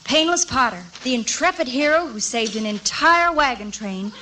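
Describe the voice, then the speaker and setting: Earnest high-voiced frontier heroine. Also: female voice, lively intonation, moderate pace, sharp tone. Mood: earnest, admiring. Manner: earnest, melodramatic. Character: earnest, noble frontier wife, secretly a gunslinger agent. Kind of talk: old-time radio comedy acting. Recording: noisy vintage radio recording.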